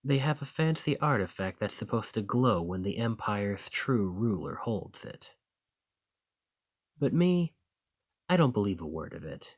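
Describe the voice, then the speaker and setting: A man playing a roguish bandit leader in an audio roleplay, confident and wry, with a folksy, teasing, drawling manner. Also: lowish voice. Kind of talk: scripted roleplay acting addressed to the listener.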